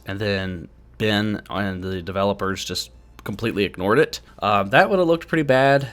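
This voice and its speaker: A man's voice: lowish voice, a bit bright. A man giving casual gaming news commentary as a YouTuber.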